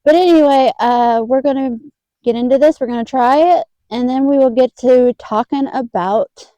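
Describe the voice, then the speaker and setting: A woman hosts a relaxed food vlog outside at a campground at night. Her voice sits a little high but sounds warm and mellow. She talks in a slow, drawn-out way, full of fillers, and the sound is dry and close. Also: no echo, low-quality recording. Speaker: vlog host. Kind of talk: casual food vlog chat.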